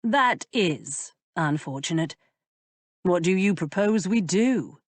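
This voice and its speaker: A woman's voice: on the low side, animated, no room sound. Woman voicing a sly, drawling male earl. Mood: teasing. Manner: drawling, amused, unhurried. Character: teasing aristocratic male earl, languid and sly.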